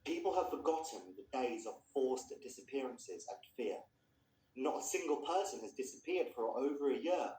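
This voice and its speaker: A man reads literary fiction aloud in an echoey room. His mid-pitched voice sits some distance from the microphone, with the delivery of someone presenting a story to listeners. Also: lively intonation, normal speed, warm tone. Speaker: reader introducing and reading a story. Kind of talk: literary reading aloud with informal introduction.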